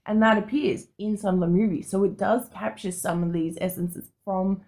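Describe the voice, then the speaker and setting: A woman's voice: medium pitch, fairly even intonation, normal speed, darkish tone. A woman co-hosting a podcast, talking casually in an opinionated, informal way with some swearing. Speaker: podcast co-host. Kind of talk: casual panel discussion.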